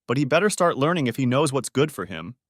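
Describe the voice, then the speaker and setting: A man's voice: mid-range voice, big pitch swings, quick pace, dry recording, studio quality. A man voicing a teasing, possessive wife in an audio story, in an arch, bantering manner. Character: teasing, possessive wife meeting her husband's friend.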